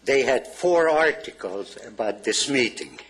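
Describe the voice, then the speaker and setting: An older man with a Hungarian accent speaks at a public comment podium. His delivery is unhurried and his voice has a husky edge. He lays out his words in halting, measured phrases. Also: medium pitch, expressive, a bit bright. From Transylvania. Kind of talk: public comment at a council meeting.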